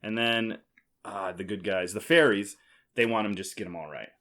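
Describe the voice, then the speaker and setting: A man's voice: lowish voice, moderate pace, fairly bright tone. A man hosting a casual board game podcast, explaining in a teasing, jokey way. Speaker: podcast host.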